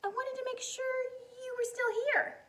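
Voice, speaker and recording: Woman acting anxious little mouse girl, very high voice, fretful questions, storybook read-aloud. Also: level tone, a little slow, a bit bright. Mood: worried.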